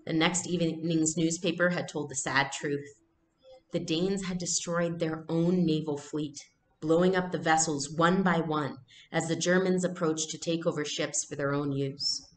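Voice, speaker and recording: A woman's voice: low voice, fairly even intonation, a little slow. A woman reading a storybook aloud expressively, like a teacher, in an indoor room. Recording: echoey room, faint background noise.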